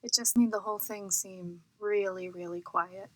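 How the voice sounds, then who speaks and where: A woman's voice: mid-range voice, steady tone, normal speed, no room sound, lightly acted. An actress playing an uneasy woman who recounts an eerie discovery in an audio drama, hesitant, wondering and conversational. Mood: puzzled, uneasy.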